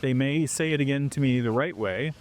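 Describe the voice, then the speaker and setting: Man, mid-pitched, animated guest telling stories in a casual interview. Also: a little fast. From Canada. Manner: animated storyteller who acts out quoted exchanges. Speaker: interview guest, foreigner living abroad.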